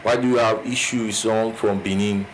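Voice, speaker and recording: A man's voice: on the low side, steady tone, fairly quick, slight background noise, poor audio. A man with a Nigerian accent, co-hosting a casual podcast chat and repeating phrases as he builds his point. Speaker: podcast co-host.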